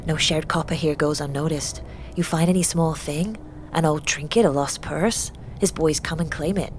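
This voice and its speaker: A woman's voice: low-pitched, moderate pace, slight background noise, close to an everyday voice. A woman playing a wary, bitter young woman from a poor slum in a fantasy audio drama, speaking tersely. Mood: bitter, guarded.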